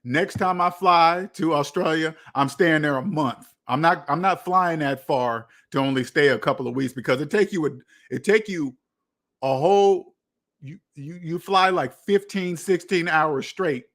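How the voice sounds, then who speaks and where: Higher-pitched American man livestreaming. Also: moderate pace, fairly bright tone. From United States. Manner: rambling, repeats phrases for emphasis. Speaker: livestream host. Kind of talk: casual livestream chat, answering viewer comments.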